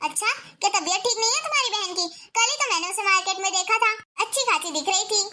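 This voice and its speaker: A woman's voice: very high-pitched, fast talker, pushed and bright. A woman voicing a stern, commanding older mother-in-law.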